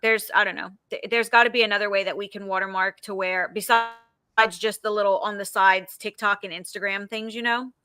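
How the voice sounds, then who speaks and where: Woman host, bright tone, close dry mic, earnest advice. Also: mid-range voice, steady tone, a little fast, clear, resonant tone, dry recording. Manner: earnest, advising students. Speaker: podcast host. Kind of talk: casual podcast chat.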